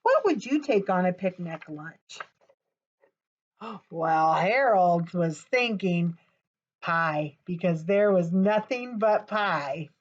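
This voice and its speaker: A woman reading a picture book aloud to young children as a storytime presenter, expressive and gasping for suspense, often putting questions straight to her listeners. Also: lowish voice, slow, lo-fi sound. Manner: expressive, gasps for suspense, addresses children directly.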